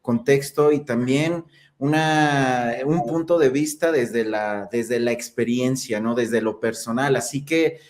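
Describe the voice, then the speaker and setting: A man's voice: medium pitch, fairly even intonation, slow pace, a little mellow. A man with a Mexican accent hosting a podcast, upbeat and chatty with frequent fillers. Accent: Mexican Spanish. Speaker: podcast host.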